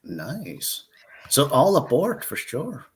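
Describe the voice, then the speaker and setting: Slow, hesitant male stream host. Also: mid-range voice, wildly swooping pitch. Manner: hesitant, many ums and uhs, playful. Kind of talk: casual chat.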